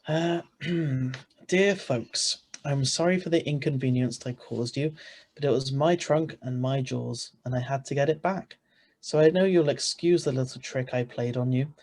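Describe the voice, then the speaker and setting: A man's voice: medium pitch, moderate pace, darkish tone, lightly acted. A man playing an ordinary, easily flustered married man who narrates his own story in an old-style radio play, read over a video call. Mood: puzzled, domestic. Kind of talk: 1940s radio acting read aloud.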